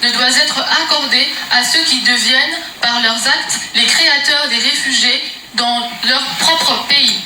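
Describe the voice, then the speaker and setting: A woman's voice: high voice, a little slow, bright, forceful tone. A woman opening a press conference with a solemn, emphatic political declaration. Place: meeting room in Brussels. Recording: echoey room from far off, noisy.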